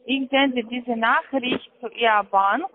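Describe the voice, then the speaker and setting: High-voiced pushy woman on phone line. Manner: pushy, repetitive, halting non-native phrasing. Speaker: call centre scammer. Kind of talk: insistent phone call. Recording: tinny narrowband phone line.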